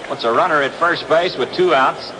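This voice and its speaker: A man's voice: on the high side, moderate pace, over a noisy crowd. An American man calling baseball play-by-play in the style of a 1960s radio sports broadcast. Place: outdoor ballpark broadcast. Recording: noisy vintage 1962 radio broadcast.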